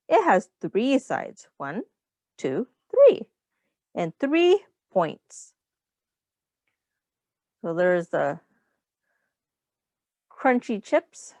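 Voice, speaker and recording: A woman reading a picture book aloud to young children as a teacher, explaining simply and pausing to ask questions. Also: medium pitch, darkish tone, no room sound.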